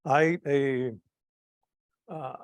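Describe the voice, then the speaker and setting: A man's voice: mid-range voice, drawn-out words, dry recording. A man from Cuba giving an informal talk to a club audience, hesitant, with many fillers and restarts. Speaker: club presenter. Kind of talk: informal presentation to a live audience.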